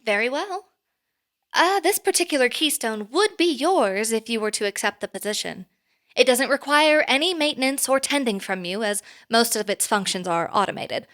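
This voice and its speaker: A woman's voice: a little high, expressive, moderate pace, fairly bright tone. A woman playing a poised female boss in an audio drama, speaking formally and precisely as she earnestly interviews an applicant. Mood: businesslike. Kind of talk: audio drama acting, conversational.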